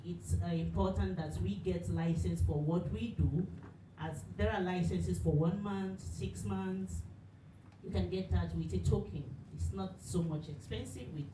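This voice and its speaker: Woman, event host at a studio workshop, chatty and filler-heavy, distant mic, echoey room. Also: fairly low pitch. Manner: warm, chatty, many "you know" fillers. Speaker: studio representative. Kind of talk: welcoming speech at a live event. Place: studio event space with an audience. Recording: roomy, distant, noisy live room.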